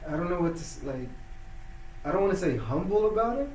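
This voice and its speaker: A man's voice: high voice, sing-song, fast, a little mellow, a little hiss or hum. A man born in Somalia hosting an interview show, easygoing and affirming, with lots of short "yeah"s. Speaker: show host. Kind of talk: casual interview chat. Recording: echoey room, mic at a distance.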